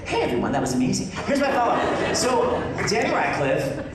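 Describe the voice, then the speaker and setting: Brief: male host, very lively pitch, teasing talk-show banter, heard from afar in a theater. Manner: animated, teasing, quick asides. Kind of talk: lively onstage Q&A hosting. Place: theater stage before a live audience. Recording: echoey hall from far off, audience noise.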